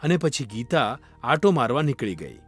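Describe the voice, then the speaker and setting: A man's voice: mid-range voice, sing-song, moderate pace, a bit bright, breathy edge, no room sound. A man narrating a scripted children's fairy tale as a storyteller. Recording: music under the voice. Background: background music.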